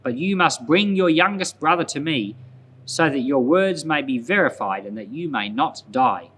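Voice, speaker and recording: A man's voice: on the high side, lively intonation, normal speed, a bit bright, a little hiss or hum. A man voicing a stern, accusing governor in a scripture story, with a harsh, commanding manner. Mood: firm. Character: stern, accusing male governor hiding who he is.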